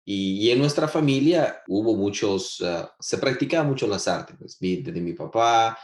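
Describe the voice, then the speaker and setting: Male guest, low voice, halting speech, echoey room. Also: expressive. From El Salvador. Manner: halting, with many restarts and filler words. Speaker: guest composer. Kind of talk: casual interview answers.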